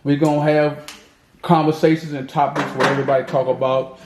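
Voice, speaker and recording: Male podcast host, relaxed and stumbling, in an echoey room with faint noise. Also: on the high side. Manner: easygoing, halting with fillers and restarts. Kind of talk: casual chat.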